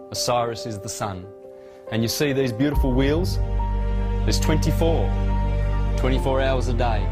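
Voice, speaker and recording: Guy doing an informal mystic lecture, repeats words for emphasis, loud music behind him. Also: medium pitch. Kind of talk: informal illustrated lecture on esoteric symbolism.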